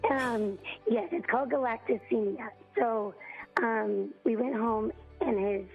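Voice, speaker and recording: A hoarse mother in her thirties calling in to a radio show, telling her story haltingly with many ums and throat-clearing. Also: on the high side, soft background music. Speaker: parent on a radio call. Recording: tinny phone line.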